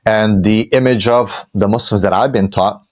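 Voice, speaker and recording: Guest, thirties, Romanian accent, low voice, rambling conversational storyteller. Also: male voice, steady tone, normal speed. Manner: conversational, with frequent fillers and restarts. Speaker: podcast guest. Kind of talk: personal storytelling.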